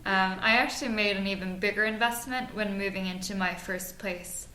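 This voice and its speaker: A woman in her twenties from Norway, co-hosting a podcast and giving practical advice in a measured way with frequent fillers. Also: medium pitch, steady tone, sharp tone. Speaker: podcast co-host. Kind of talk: scripted podcast chat. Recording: echoey room, faint noise.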